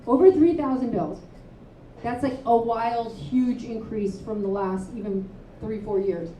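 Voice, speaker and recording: A woman author and advocate speaking candidly at a live panel, telling stories with quick asides. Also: a little high, a little hiss or hum. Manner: candid, storytelling, animated asides. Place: live event venue, indoors. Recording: roomy, distant, dull live-room sound.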